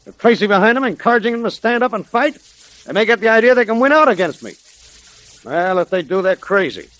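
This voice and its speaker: A man's voice: high-pitched, animated, quick pace, fairly bright tone, dry recording, low-quality recording. An actor playing a bullying, blustering gang boss with slangy tough-guy talk in a 1930s radio drama. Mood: bitter, scheming. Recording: vintage 1930s radio recording.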